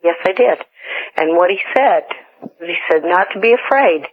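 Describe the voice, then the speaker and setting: Female radio caller, middle-aged or older, sincere halting storyteller, tinny phone audio. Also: fairly low pitch, lively intonation. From western United States. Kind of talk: telling a personal story. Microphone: telephone.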